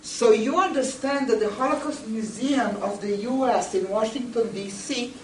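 An older woman gives a public lecture, speaking emphatically and with rhetorical questions. She is picked up from some distance in an echoey meeting room, with a little background noise. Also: on the high side. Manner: deliberate, emphatic, rhetorical questions, addresses listeners directly. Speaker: lecturer, museum educator. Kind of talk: public lecture to a seated group. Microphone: camera a few metres away. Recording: roomy, distant camera microphone with noise.

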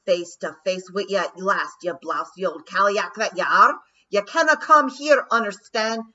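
A woman voicing a fierce, cocky little male pixie chieftain with a put-on Scottish accent, loud and gruff. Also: mid-range voice, moderate pace, pitched above the performer's own voice. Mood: defiant. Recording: dull lo-fi recording.

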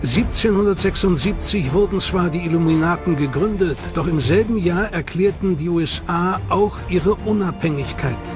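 A male documentary narrator reads his script in a measured, dramatic storytelling manner. His voice is on the high side for a man, and a music bed plays clearly behind him.

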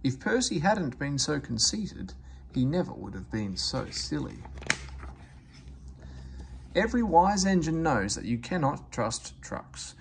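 A man's voice: a little high, big pitch swings, a little slow, gravelly, pauses often, a little hiss or hum. A man reading a children's story aloud as scripted narration. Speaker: storybook reader.

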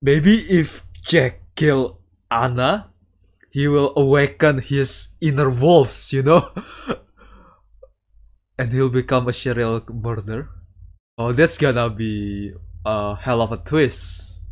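A man reading a visual novel aloud as a streamer, with casual commentary and halting delivery. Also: medium pitch. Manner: halting delivery, sprinkling in Japanese words. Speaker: VTuber streamer.

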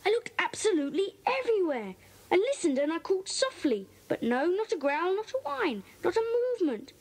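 A woman's voice: extremely high pitch, sing-song, brisk, a little mellow, heavily acted, rough recording. A woman with a British accent playing an earnest, eager schoolboy detective in a children's audio drama, telling his story in careful detail. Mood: earnest, intrigued.

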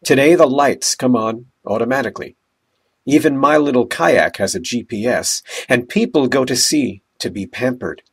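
A man narrates a documentary in a calm, measured way, like a storyteller. His voice sits in a middle pitch and has a slightly husky edge. It is a clean voice-over recording.

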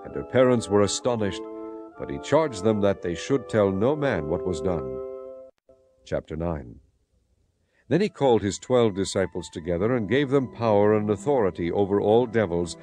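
Male narrator, low pitch, animated intonation, dramatized scripture reading.

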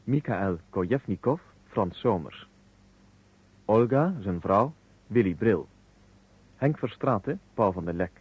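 A man makes formal scripted announcements for a radio play. His voice is husky and fairly low. The recording is dull, as in an old broadcast. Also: lively intonation, slow pace, long pauses, dry recording.

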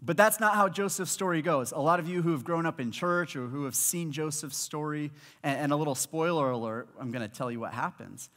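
A man in his thirties from Malawi preaches with a headset mic, his voice sitting a little high for a man. He tells stories in a lively way and slips into the voices of the people in them. A long reverb trails after him through the large auditorium. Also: fairly quick, hardly any pauses. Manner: animated storytelling preacher, acts out quoted voices. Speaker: high school pastor. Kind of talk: sermon. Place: large church auditorium stage with audience.

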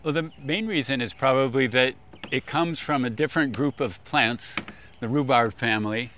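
An older man explaining things informally in a measured way, as an expert guest. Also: medium pitch, fairly bright tone, slight background noise. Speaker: expert guest, agricultural scientist. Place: outdoors on a lawn beside a food truck.

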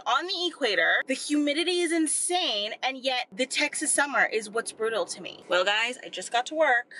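A woman's voice: a little high, pushed and bright, a little hiss or hum, poor audio. A young woman in her twenties from Singapore, a photographer chatting casually to her camera in a rambling, filler-heavy way. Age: 20s. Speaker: vlogger, photographer. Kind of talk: casual vlog chat. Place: car, small office, then home room. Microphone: camera-mounted mic, held close or on tripod.

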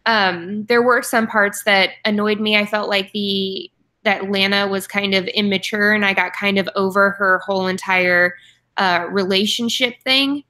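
A woman's voice: medium pitch, steady tone, a little slow, sharp tone. A young woman co-hosting a book club over a video call from home, chatty, with frequent ums and restarts. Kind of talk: casual video call chat. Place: home room with posters and bookshelf. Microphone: webcam on video call.

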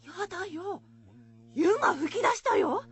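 Animated high-voiced village innkeeper woman. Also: a little slow. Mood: excited. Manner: folksy dialect speech. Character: friendly, chatty rustic village innkeeper woman. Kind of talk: radio drama acting.

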